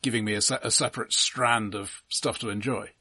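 A middle-aged man co-hosting a film podcast, speaking in a measured, considered way with stammered word repetitions. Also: fairly low pitch, steady tone, sharp tone, breathy edge. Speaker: podcast co-host. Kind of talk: casual film discussion.

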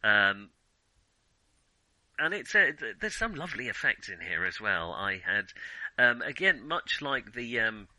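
Guy on a film podcast, really bright crisp edge to the voice, keeps restarting sentences, dry room. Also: medium pitch, big pitch swings, dry recording, poor audio. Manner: halting, self-correcting, trailing restarts. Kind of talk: casual unscripted film discussion.

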